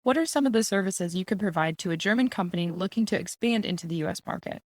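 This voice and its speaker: Brisk, low-voiced female podcast host. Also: expressive, dry recording, studio quality. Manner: brisk, polished reading. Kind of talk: scripted introduction and interview questions.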